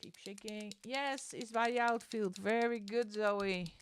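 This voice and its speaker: A woman's voice: medium pitch, steady tone, unhurried, a little hiss or hum. A woman streamer chatting casually while she colors, given to exasperated rants, speaking into a desk microphone. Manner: ranting, exasperated asides; sings along to music between chat.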